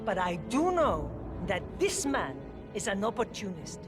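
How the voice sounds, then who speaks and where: Principled, indignant woman, slow delivery. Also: medium pitch, sing-song, music in the background. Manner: measured, formal, disapproving. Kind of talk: dramatic video game acting.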